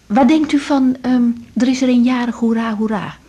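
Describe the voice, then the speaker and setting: A woman's voice: a little high, moderate pace, warm tone, husky. A young woman acting a patient, tactful doctor in a 1980s naturalistic radio drama, explaining things carefully and reassuringly. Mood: friendly, helpful. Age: young adult.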